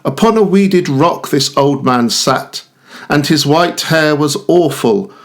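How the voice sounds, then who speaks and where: A man giving a measured, expressive reading of Romantic narrative verse. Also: fairly high pitch. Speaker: poetry reader.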